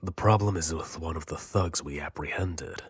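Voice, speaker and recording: A man playing a gruff, seasoned anime soldier, grave and loyal, with a husky voice in an audio drama. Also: normal speed, no room sound, clean studio sound, full character voice. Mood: grave, urgent. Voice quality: husky, very deep.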